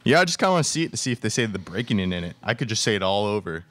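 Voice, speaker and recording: A young man playing a laid-back moviegoer with a casual, halting delivery. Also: on the low side, very animated, fairly bright tone, slight background noise. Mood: easygoing. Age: young adult. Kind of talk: student radio theatre dialogue. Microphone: microphone on stand.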